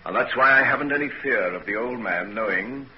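A man acting an earnest, secretive young gentleman in a 1940s radio drama. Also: mid-range voice, brisk, lo-fi sound, close to an everyday voice. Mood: earnest, assured. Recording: noisy old 1940s radio recording.

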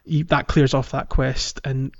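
Dull-sounding, low-voiced man podcasting. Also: level tone, a little slow, vocal fry. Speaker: podcast co-host. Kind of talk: casual video game chat, explaining quests.

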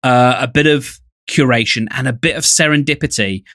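A man with an English accent and a mid-pitched voice, recorded close and dry. He talks casually as a podcast co-host, wordy and given to joking. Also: normal speed, a bit bright, no echo. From England.

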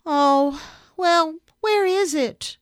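A woman's voice: very high-pitched, very slow, no room sound, studio quality, voice pushed up, exaggerated voice. A woman voicing an eager, caring young boy who takes charge in a children's storybook, exclaiming earnestly. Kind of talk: children's storybook character reading.

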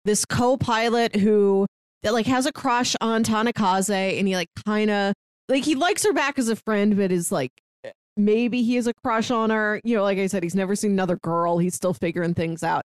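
A woman's voice: mid-range voice, moderate pace, smooth, dry recording. Casual woman podcaster with a dry, close sound. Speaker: podcast co-host. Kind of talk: casual book discussion chat.

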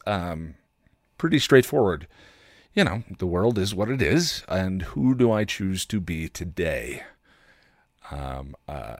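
A man hosting a podcast with a candid personal monologue, reflective and halting, with wry, self-deprecating delivery. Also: low voice, no echo, clean studio sound. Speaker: podcast host.

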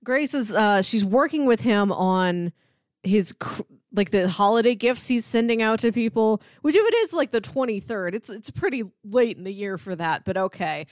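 A woman's voice: medium pitch, lively intonation, moderate pace, no echo, studio quality. A woman co-hosting a podcast, casually and wryly recapping a film. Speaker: podcast co-host.

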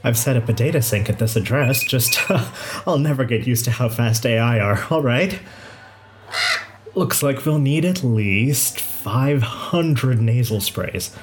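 A kindly, considerate hospital pathologist played by a man in an audio drama. The talk is brisk and chatty, thinking things through aloud. Ambient noise is clear behind the voice. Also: medium pitch, close to an everyday voice. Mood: amazed, busy.

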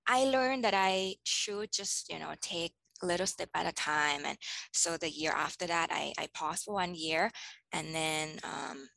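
A woman from Thailand in her thirties talks through her answers with many small pauses and restarts. Her voice is lowish and gravelly, but it has a bright, crisp edge. It sounds dry, with little room around it. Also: dry recording, low-quality recording. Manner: thoughtful, with many ums and restarts. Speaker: teacher guest. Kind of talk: interview answers.

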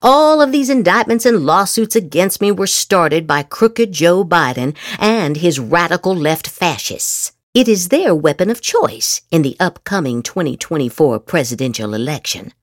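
A woman's voice: lowish voice, very animated, moderate pace, hardly any pauses, dry recording, studio quality. A woman podcast host doing a theatrical dramatic reading of social media posts, with exaggerated, emphatic inflection.

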